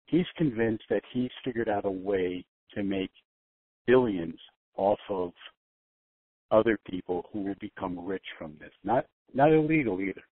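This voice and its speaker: Older man, low-pitched, on a phone line. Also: lively intonation, dry recording. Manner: halting, repeats words and restarts often. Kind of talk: rambling conference-call talk. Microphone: phone conference call. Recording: narrow tinny phone line.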